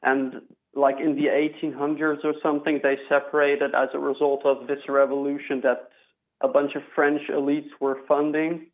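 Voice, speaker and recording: A young man from Flanders in Belgium calling in to a talk show, speaking haltingly with many fillers and restarts. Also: on the high side, flat delivery. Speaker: caller. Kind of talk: phone-in call. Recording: tinny phone line.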